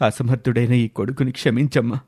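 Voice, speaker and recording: A man's voice: medium pitch, little pitch movement, brisk, mellow, slightly rough, close to an everyday voice. Man acting a subdued, pleading grown son. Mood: sad, pleading. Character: sorrowful, apologetic grown son pleading with his mother.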